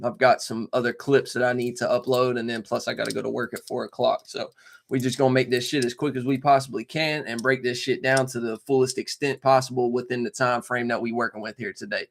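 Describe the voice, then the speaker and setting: A man's voice: mid-range voice, fairly bright tone. A man hosting his own talk channel, speaking in a loose, profane monologue full of filler phrases. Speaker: host and tarot reader. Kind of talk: casual talk monologue to viewers.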